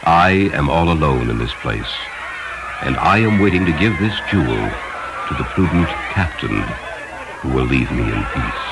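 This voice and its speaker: A man's voice: very deep voice, sing-song, moderate pace, noisy background, exaggerated voice. A man voicing a noble, devout traveler in a storybook, grave and formal in manner. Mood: calm.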